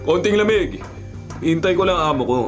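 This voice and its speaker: Stoic Filipino bodyguard over music. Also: male voice, high voice, moderate pace. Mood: calm. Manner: calm, respectful, stoic. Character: serious, restrained, polite young male bodyguard. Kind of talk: Filipino radio drama acting. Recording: loud music under the voice.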